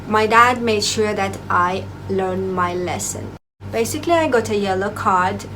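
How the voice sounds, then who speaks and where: A woman's voice: mid-range voice, fairly bright tone, slight background noise, poor audio. A young woman from Azerbaijan telling her own story to camera in a playful, self-mocking way, with non-native English phrasing. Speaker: vlogger. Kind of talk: casual personal storytelling to camera.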